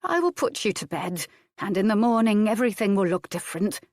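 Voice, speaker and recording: A woman's voice: medium pitch, very animated, a little fast, darkish tone, no echo, clean studio sound, voice pushed up, close to an everyday voice. A woman reading an audiobook, voicing an old, motherly nursemaid who comforts with practical endearments. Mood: soothing. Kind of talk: audiobook character voice.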